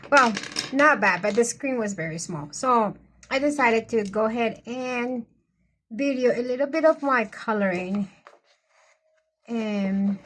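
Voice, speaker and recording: Middle-aged woman with a Colombian accent, coloring vlogger, chatty and rambling, slightly high voice, unhurried delivery. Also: a bit bright, a little hiss or hum. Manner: chatty, meandering, frequent restarts. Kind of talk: casual chat while coloring.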